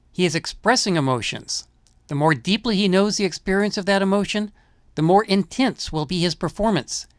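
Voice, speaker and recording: Man narrating a measured film-music essay. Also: a little high, dry recording, clean studio sound. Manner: measured, explanatory.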